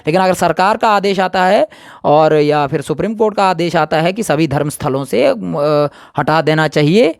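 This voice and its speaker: A man's voice: fairly high pitch, lively intonation, normal speed, creaky, hardly any pauses, dry recording, clean studio sound. A man giving his opinion in an impromptu interview, speaking emphatically and repeating his points. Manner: emphatic, repetitive.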